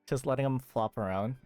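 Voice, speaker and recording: A woman's voice: very deep voice, darkish tone, clean tone. A woman livestreaming a game in casual chat, rambling, swearing freely and reacting with disbelief. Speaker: livestreamer playing a video game. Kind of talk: casual live chat and commentary. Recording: game music behind the voice.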